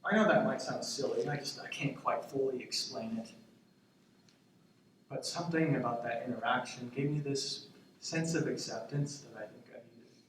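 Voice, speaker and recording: A man's voice: mid-range voice, moderate pace, natural voice. A man acting a tender grandson in his twenties in a stage monologue, confiding in his departed grandmother in a reflective, hesitant, conversational way. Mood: vulnerable, hesitant. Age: 20s. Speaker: stage actor in a student play. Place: indoor stage, recorded from afar. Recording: echoey, distant stage recording with noise.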